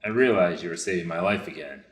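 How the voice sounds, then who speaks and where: A man's voice: low-pitched, quick pace, natural voice. A man playing a grateful, plain-spoken American prisoner of war in a staged reader's theater drama, informal and earnest. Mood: subdued. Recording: roomy, echoing space.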